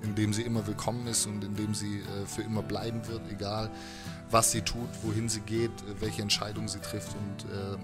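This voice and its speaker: A man in his thirties talking casually in an interview, reflective and halting, into a handheld microphone held close. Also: lowish voice, level tone, over music. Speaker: musician being interviewed. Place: living room with instruments, indoors.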